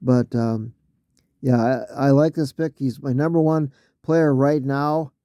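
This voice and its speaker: A man losing his voice, talking casually through a solo mock draft, halting with fillers and often clearing his throat. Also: mid-range voice, steady tone, mellow, no echo, clean studio sound.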